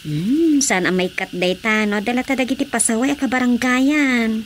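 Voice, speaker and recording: A woman playing a talkative, concerned village woman in a Filipino radio drama, with an Ilocano accent and expressive, gossipy delivery. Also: fairly high pitch, normal speed, slight background noise, natural voice.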